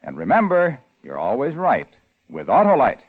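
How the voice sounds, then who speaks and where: A man's voice: medium pitch, huge pitch swings, normal speed, over loud music. A man announcing for a sponsor with a polished, salesmanlike 1950s radio delivery. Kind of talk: 1950s radio advertising pitch. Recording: tinny 1950s radio broadcast.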